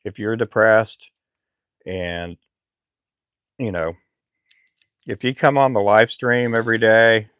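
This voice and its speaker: Middle-aged man, low and gravelly, easygoing rambler, dry close recording. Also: no room sound. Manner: relaxed, rambling, frequent fillers. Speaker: lure maker live streamer. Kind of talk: casual live stream chat.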